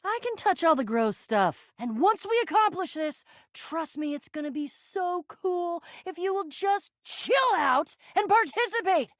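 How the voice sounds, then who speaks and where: A woman's voice: extremely high pitch, animated, no room sound, clean studio sound. A young American woman voicing a bossy, sarcastic, theatrical and easily flustered female adventurer in a video game. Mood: amused, wry. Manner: theatrical, exasperated, quick to swing between bravado and fluster.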